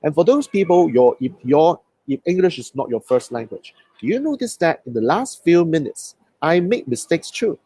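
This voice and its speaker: A man's voice: on the high side, sing-song, normal speed, few pauses, mellow, husky, dry recording. A man from Hong Kong with a Hong Kong accent, a native Cantonese speaker, giving a motivational coaching talk on a live stream and putting questions to his viewers. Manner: motivational, asks the audience questions.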